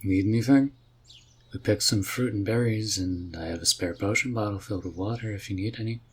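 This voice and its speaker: A man's voice: lowish voice, fairly quick, slightly rough. A man voicing a laid-back, friendly and curious male mage in a fantasy roleplay audio, with a casual, questioning manner. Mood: easygoing, curious. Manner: casual, easygoing, puzzled questioning. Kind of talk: roleplay audio drama for the listener.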